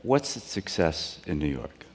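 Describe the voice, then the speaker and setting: A man's voice: low-pitched, very animated, measured pace, long reverb tail. A man interviewing a guest, asking questions with hesitant restarts.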